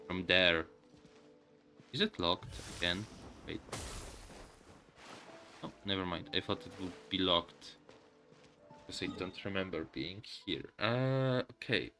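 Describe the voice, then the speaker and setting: Male streamer, low register, halting casual play commentary at a slow pace, music playing behind. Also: pushed and bright. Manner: halting, musing aloud to viewers. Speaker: game streamer. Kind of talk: casual live game commentary.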